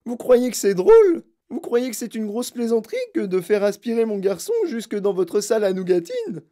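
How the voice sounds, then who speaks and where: A woman voicing a frantic, overbearing mother who shrieks and pleads in a shrill voice, as a storybook reading. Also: very animated, a little fast, lightly acted. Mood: outraged. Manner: hysterical, yelling and pleading.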